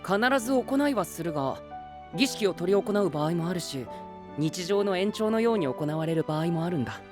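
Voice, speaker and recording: Animated, grandiose young gentleman knight. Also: high voice, moderate pace, music in the background. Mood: explanatory. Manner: formal, grandiose, with exclamations in English. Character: proud, theatrical young gentleman knight, generous with praise. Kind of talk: anime-style voice drama acting.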